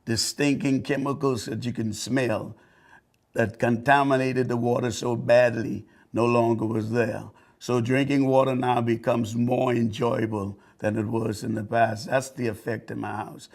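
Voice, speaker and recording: Older man from the Bahamas, low gravelly voice, flat and earnest, halting testimonial read. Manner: earnest, halting, with repeated words and restarts. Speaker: customer giving a testimonial. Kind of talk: unscripted testimonial.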